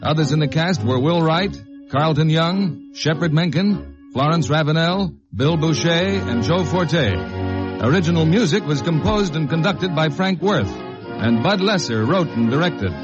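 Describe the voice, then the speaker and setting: A man's voice: a little high, lively intonation, unhurried. A man announcing credits in a formal, scripted 1950s radio style. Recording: dull vintage radio recording with music.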